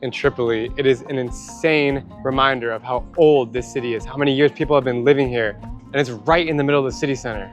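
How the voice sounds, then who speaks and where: A man's voice: mid-range voice, fast, faint music behind. An American man in his thirties, hosting a travel vlog outdoors with a handheld camera, talking in an enthusiastic, upbeat way. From United States. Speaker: travel vlogger host. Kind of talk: casual vlog narration. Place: outdoors in old town streets.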